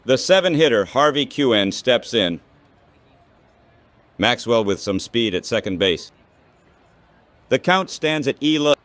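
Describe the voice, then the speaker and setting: A man calling baseball play-by-play as an announcer, in short, measured phrases. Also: medium pitch, lively intonation, a bit bright, no room sound.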